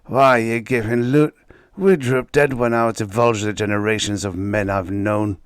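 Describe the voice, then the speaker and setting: A man's voice: mid-range voice, unhurried, no room sound, clean studio sound, natural voice. A man voicing an ancient, arrogant male sorcerer who rants and mocks in archaic speech. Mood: mocking. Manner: ranting, contemptuous, archaic speech.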